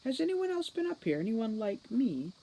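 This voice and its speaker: A female fairy police captain from a fantasy storybook, read by a woman. She is brisk and composed, coaxing people along while staying firmly in charge. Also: on the high side, very animated, warm tone, voice pushed up, poor audio. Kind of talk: audiobook children's fantasy character voice.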